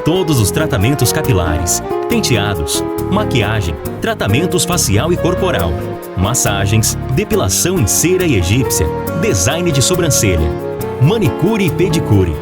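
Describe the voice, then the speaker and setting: A man's voice: medium pitch, sing-song, moderate pace. A man with a Brazilian accent reading upbeat promo announcements. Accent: Brazilian Portuguese. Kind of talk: radio-style promo voiceover. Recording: voiceover over music.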